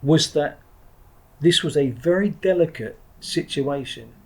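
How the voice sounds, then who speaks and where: Middle-aged man, husky voice, a bit high, blunt and rambling, interview guest. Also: unhurried, a little mellow. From England. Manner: blunt and digressive, with frequent restarts and the odd swear word. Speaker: podcast guest, former Marine trainer. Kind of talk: reflective interview talk.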